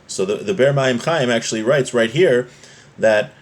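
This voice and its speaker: A man's voice: medium pitch, normal speed. Man teaching an informal religious class. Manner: explanatory, often checking with "right?". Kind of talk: informal religious class, reading Hebrew text and explaining it.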